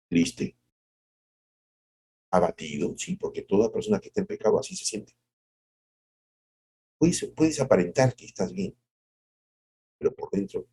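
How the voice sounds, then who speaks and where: A middle-aged male pastor giving a devotional sermon, asking rhetorical questions and acting out quotes, moving from soft drawn-out phrases to sudden high exclamations. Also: vocal fry, husky.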